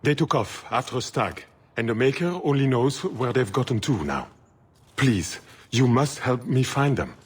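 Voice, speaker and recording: A man's voice: mid-range voice, expressive, moderate pace, breathy edge. A man playing a fretful, pleading hound owner in a scripted fantasy game. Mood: worried, pleading.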